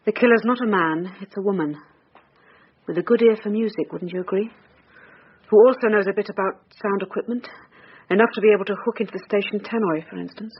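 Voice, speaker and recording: An actress playing a weary, bitter middle-aged police detective in a naturalistic radio drama. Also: female voice, mid-range voice, a little fast, breathy edge, highs cut off. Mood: dogged, intent.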